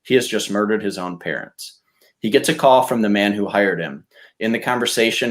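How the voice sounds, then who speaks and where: A man chatting casually and reading out pitches as a podcast contestant. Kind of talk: casual chat with read-out pitches.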